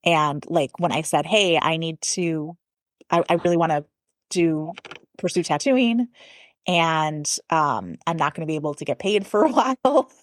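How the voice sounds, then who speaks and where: Low-pitched, chatty woman in her forties. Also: measured pace, dry recording. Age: 40s. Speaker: podcast guest. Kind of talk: casual conversational interview.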